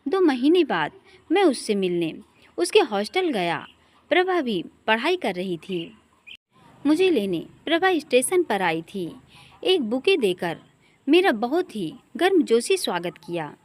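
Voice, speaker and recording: A woman's voice: on the high side, very animated, measured pace. A woman narrating an emotional story from a script, as a storyteller.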